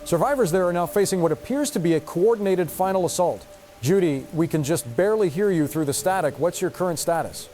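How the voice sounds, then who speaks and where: Man as solemn news anchor, slightly high voice. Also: animated, fairly quick, mellow, soft background music. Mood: solemn, strained. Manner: formal, solemn. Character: grave male TV news anchor in a disaster. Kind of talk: scripted newscast drama.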